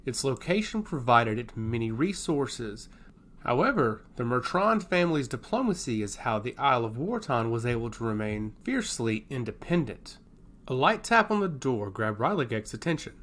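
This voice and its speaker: A man narrating a scripted fantasy story in a measured, dramatic storytelling manner. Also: medium pitch, big pitch swings, fairly bright tone.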